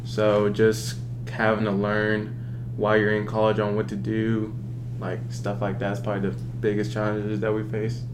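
A man's voice: fairly low pitch, completely flat delivery, moderate pace. A college-age man from Arkansas talking casually as a student guest in a discussion. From Arkansas, United States. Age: about 20. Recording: clear background hum.

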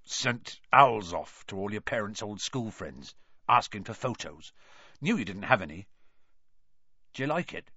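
A huge bearded giant from a storybook, soft-hearted and easily moved. The speech is blunt and colloquial, full of remorse, and swings widely in pitch over a close, dry, slightly dull recording. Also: male voice, sing-song, a little slow, husky, dry recording, full character voice. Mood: gentle, recovering. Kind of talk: audiobook character voice.

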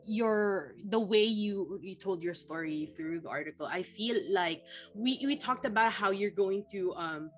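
A woman's voice: mid-range voice, measured pace, few pauses. A young woman from the Philippines hosting a podcast interview, chatty and full of fillers and restarts. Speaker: podcast host.

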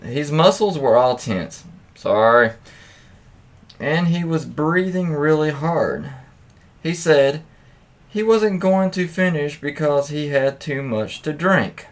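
Male podcast host, mid-pitched and unhurried, for casual read-aloud with salty, offhand commentary. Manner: informal, profane, reacts with interjections.

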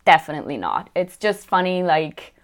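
A woman's voice: low voice, sing-song, normal speed. Chatty young woman vlogging. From Switzerland. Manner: chatty, laughs often, many fillers. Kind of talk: casual chat. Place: apartment.

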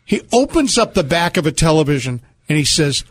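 A man's voice: on the high side, sing-song, a little fast. A man co-hosting a radio show, telling stories conversationally with a jokey, dryly teasing manner. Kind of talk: conversational radio talk with storytelling. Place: radio studio.

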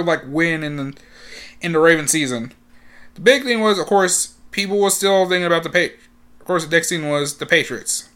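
A young man with a slightly high voice talks casually as a sports fan. He rambles, repeats himself and leans on fillers. His voice rises and gets loud whenever he hits the big moments. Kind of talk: casual talk to camera.

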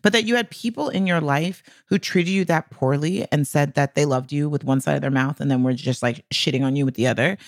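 A woman's voice: low voice, a little fast, hardly any pauses, no echo, studio quality. A woman co-hosting a podcast in casual, candid conversation, speaking emphatically and bluntly with some salty language. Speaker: podcast co-host.

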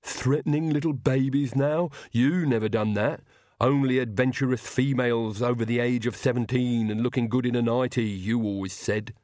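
A man's voice: medium pitch, steady tone, normal speed, darkish tone, no room sound, studio quality, close to an everyday voice. A man voicing a loyal, grumbling old manservant to vampires in a storybook, muttering reproachfully.

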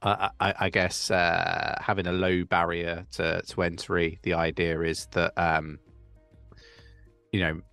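Brief: very low, gravelly male co-host, laid-back livestream chat, dry close mic. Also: measured pace, no echo, faint music behind. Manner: relaxed, chatty, reads live chat questions. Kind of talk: casual crypto livestream chat.

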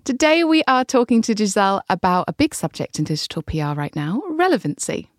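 A woman's voice: mid-range voice, big pitch swings, brisk, dry recording, studio quality. A woman co-hosting a podcast, reading an effusive introduction. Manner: warm, effusive, reads intro. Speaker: podcast co-host. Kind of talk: scripted introduction and chat.

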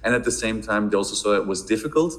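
Man from Belgium, fairly low voice, halting speech, repeats words, interview guest. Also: lively intonation, a bit bright. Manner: hesitant, many repeated words and fillers. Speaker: podcast guest, marketing director. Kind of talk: casual interview answers.

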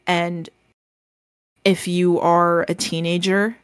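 A woman co-hosting a casual podcast, speaking gently and haltingly with many ums and restarts, her voice described as soothing. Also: fairly low pitch, level tone, clean tone, no room sound, clean studio sound. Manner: gentle, reassuring advice. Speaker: podcast co-host.

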